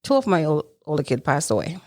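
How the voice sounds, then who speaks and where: Deep-voiced middle-aged Jamaican woman in conversation. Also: big pitch swings, fast talker, a little mellow, no room sound, studio quality. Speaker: podcast guest. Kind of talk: conversational personal storytelling.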